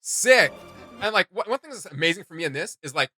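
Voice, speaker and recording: A man giving casual, enthusiastic reaction commentary as a video host, in an excitable, slangy and emphatic way. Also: high voice, fairly quick, dry recording, faint music behind.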